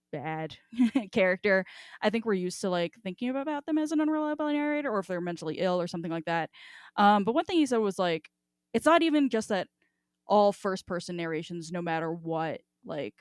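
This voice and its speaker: A woman's voice: fairly low pitch, clean tone, no echo, studio quality. A woman hosting a casual book discussion, talking in a rambling, informal way and swearing freely. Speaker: podcast host.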